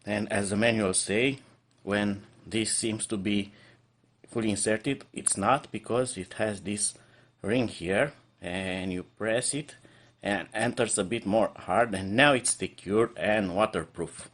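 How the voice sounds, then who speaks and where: A man's voice: fairly low pitch, slow pace, a bit bright. A man giving an unscripted product review, explaining features step by step with hesitant, slightly non-native phrasing.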